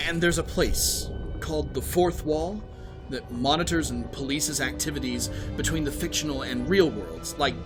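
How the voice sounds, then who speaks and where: An actor playing a weary, blunt, skeptical writer and conspiracy believer in an audio drama, curt and incredulous. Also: male voice, a little high, normal speed, music in the background, close to an everyday voice.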